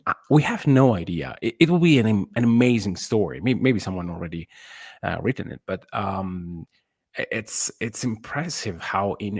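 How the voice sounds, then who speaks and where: A man co-hosting a sports podcast, giving casual basketball analysis in halting phrases with slightly non-native English grammar. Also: deep voice, no room sound, clean studio sound.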